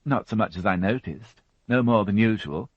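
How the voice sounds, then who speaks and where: A man's voice: fairly low pitch, animated, normal speed, no room sound, pitched above the performer's own voice. A man voicing a fussy, prim middle-aged housewife who chatters and turns indignant, in a storybook reading. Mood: chatty. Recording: dull, dry studio audiobook.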